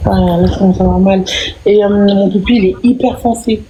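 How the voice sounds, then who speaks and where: A woman's voice: medium pitch, steady tone, fairly quick, mellow, slight background noise, poor audio. A woman hosting a casual live stream, teasing and arguing in a playful way and repeating her points. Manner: teasing, argumentative, repeats herself. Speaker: livestream host.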